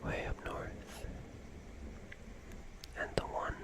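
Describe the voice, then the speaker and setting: An ASMR host speaking in a breathy, unvoiced whisper, gently rambling and softly repeating words in a soothing storytelling style. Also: extremely slow.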